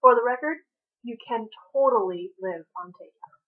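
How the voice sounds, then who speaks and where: A woman reading the part of a playful, pushy man who teases and persists in an animated, insistent way. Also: on the high side, normal speed, husky, narrow, tinny audio. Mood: upbeat. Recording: thin, band-limited home recording.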